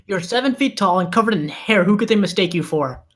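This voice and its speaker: Man playing an exasperated, deadpan amateur cameraman. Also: sits high, brisk. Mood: exasperated, patient. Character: exasperated male amateur cameraman, Bigfoot's practical friend. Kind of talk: comedic screenplay table read.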